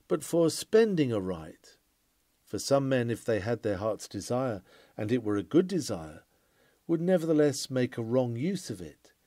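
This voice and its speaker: Expressive man reading a sermon, mellow voice. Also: mid-range voice, no room sound, clean studio sound. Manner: earnest, oratorical, emphatic preaching delivery. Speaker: sermon reader. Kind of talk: dramatic read-aloud of a Victorian sermon.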